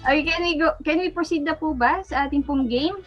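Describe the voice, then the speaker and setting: A woman's voice: high voice, fast, muffled recording, soft background music. A woman with a Filipino accent politely hosting a casual live online webinar, thanking attendees as she goes. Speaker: webinar host. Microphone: online video call.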